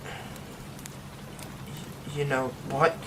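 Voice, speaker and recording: A man's voice: medium pitch, extremely slow, low-quality recording. A man playing a secretive werewolf friend. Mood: tense, defensive. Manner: hushed, often dropping to a whisper. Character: secretive young man hiding a werewolf curse. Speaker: ASMR roleplay voice actor. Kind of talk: ASMR roleplay drama. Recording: steady rain noise behind the voice.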